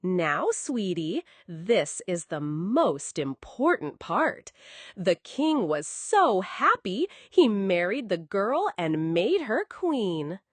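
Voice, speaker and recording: Woman telling bedtime stories, slow and highly animated. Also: dry recording. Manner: coddling, addresses listener with endearments. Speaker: bedtime storyteller. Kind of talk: scripted children's bedtime story narration.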